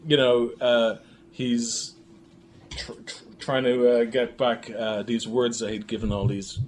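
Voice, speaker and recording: A man being interviewed as a filmmaker, chatting casually about his work in a halting, thinking-aloud way. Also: medium pitch, flat delivery. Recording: clearly noisy room recording.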